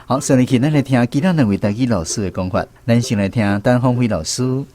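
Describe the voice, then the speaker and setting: A man's voice: mid-range voice, quick pace, mellow. A man with a Taiwanese accent hosting an educational language quiz on the radio. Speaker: radio host of a language segment.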